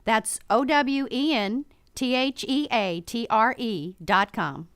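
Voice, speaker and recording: A woman's voice: a little high, slow, a bit bright. A woman reading scripted promotional announcements as a radio announcer.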